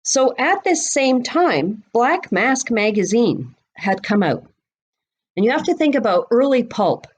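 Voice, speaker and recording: Slow-paced, deliberate woman explaining. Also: fairly high pitch, sing-song, husky. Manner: deliberate, explanatory, pausing between phrases. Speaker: guest expert on mystery fiction, bookseller and writer. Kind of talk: informal explanatory podcast talk.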